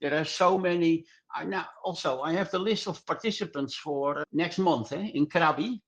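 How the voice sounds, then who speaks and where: Halting older man, muffled audio. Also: on the high side. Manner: halting, repetitive non-native English. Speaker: podcast guest, club singer. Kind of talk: casual interview answers.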